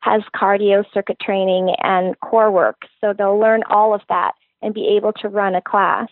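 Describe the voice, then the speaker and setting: A woman's voice: mid-range voice, level tone, moderate pace, no echo. Fitness trainer woman on a tinny phone line. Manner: earnest, enthusiastic explaining. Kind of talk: interview answers.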